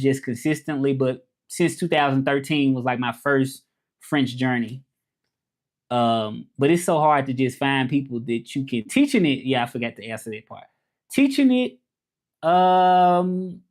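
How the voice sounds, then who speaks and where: A man in his thirties from Memphis with a Southern accent, chatting loosely on a livestream, greeting viewers and repeating himself as he talks. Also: mid-range voice. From Memphis, Tennessee. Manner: loose, repetitive, greets viewers and urges subscribing. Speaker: livestream host and French teacher. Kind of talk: casual livestream chat.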